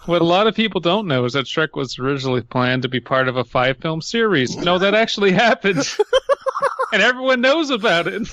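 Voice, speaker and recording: A man reads an internet horror story aloud on a comedy podcast, stopping to make fun of the writing. His voice is lively and bright. The sound is dry and close to the mic. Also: high-pitched, normal speed, no room sound. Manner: reads creepypasta aloud with mocking asides and laughter. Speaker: podcast co-host. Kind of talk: comedic reading and banter.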